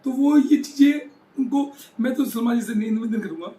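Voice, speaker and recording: A man's voice: very high-pitched, normal speed, warm tone, slight background noise. A man speaks as a political interviewee. He drives his points home with emphatic repetition. The sound is roomy and echoing. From Haryana, India. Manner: emphatic, repeats phrases for rhetorical effect. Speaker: former local chairman being interviewed.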